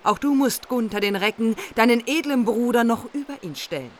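A woman's voice: fairly high pitch, a little fast, close to an everyday voice. An actress plays a proud warrior queen in a radio saga. She speaks formally, with a haughty manner and a firm sense of her own rank. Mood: proud, insistent. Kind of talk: radio play acting.

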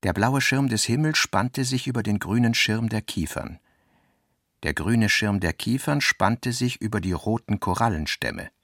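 A man reading literary notes and prose for a radio play, in deliberate, clipped phrases with pauses between them. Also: on the low side, fairly bright tone.

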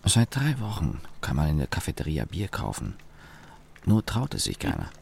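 A man acting as a young, sardonic engineer telling his story in a radio play, wry and deliberate, with pauses and self-corrections. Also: rumbling low, huge pitch swings, creaky, background noise, full character voice. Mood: bantering. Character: young engineer, sardonic company employee recalling his father. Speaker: narrator and lead actor. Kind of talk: German radio play monologue, reflective storytelling.

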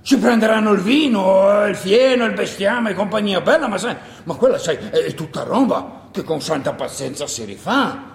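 A man's voice: high-pitched, animated, moderate pace, creaky. An actor playing a worried village man who coaxes hesitantly, repeating himself, in a theatrical stage style. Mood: uneasy reasoning. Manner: hesitant, repetitive, coaxing. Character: worried, coaxing village man urging caution. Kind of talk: theatrical stage monologue acting. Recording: echoey hall with long reverb.

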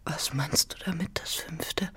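An actress playing a bitter, wounded, possessive farm wife in a literary radio drama, with intense delivery. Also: female voice, sits low, measured pace, fairly bright tone, in a whisper. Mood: hushed, uncertain.

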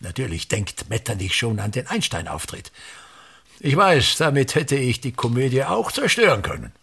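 An actor playing an obsessive, domineering old theatre director in a radio play, with a pompous, declamatory delivery. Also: male voice, mid-range voice, sing-song, moderate pace, creaky, fairly bright tone, slightly rough, full character voice. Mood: musing, grandiose. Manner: declamatory, pompous, ranting in fits and starts.

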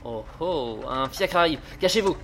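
An actor playing a flustered, put-upon police inspector in an audio drama, stammering and grumbling. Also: male voice, a little high, animated, unhurried, fairly bright tone, slight background noise. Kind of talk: French audio drama acting.

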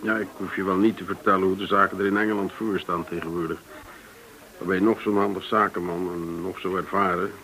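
A man's voice: sits low, steady tone, brisk, pushed and bright, poor audio. An actor playing a courteous, somewhat hesitant middle-aged businessman in a naturalistic radio play. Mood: confiding, tentative. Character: Dirk Norman, courteous male businessman living off share sale. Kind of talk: naturalistic radio drama acting.

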